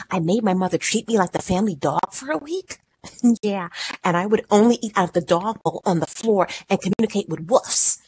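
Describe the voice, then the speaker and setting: A woman performing a monologue as an anxious, pregnant 29-year-old in a clinic waiting room, nervous and chatty, swinging between high outbursts and whispers. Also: darkish tone, breathy edge, rough recording.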